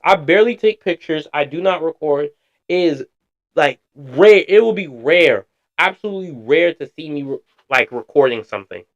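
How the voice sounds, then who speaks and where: A man streaming casual reaction commentary, slangy and blunt, swearing freely. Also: fairly high pitch.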